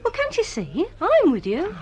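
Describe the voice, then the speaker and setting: A woman's voice: very high-pitched, wildly swooping pitch, normal speed, soft background music, heavily acted. An actress playing a loyal, unassuming female friend, earnest and naturalistic, in a radio drama. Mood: hurt, earnest.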